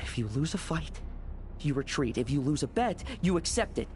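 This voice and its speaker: An actor playing a blunt, pragmatic young schemer in a video game, weary and cynical, with a dry, lecturing way of talking. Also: male voice, fairly high pitch, animated, moderate pace, background noise, lightly acted. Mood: stern, frustrated.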